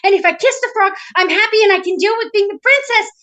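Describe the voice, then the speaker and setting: A woman's voice: extremely high pitch, fast talker, sharp tone, pitched above the performer's own voice. A woman voicing a needy, dramatic young princess in a fairy tale, insistent and pleading. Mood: desperate. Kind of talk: live storytelling of a fairy tale.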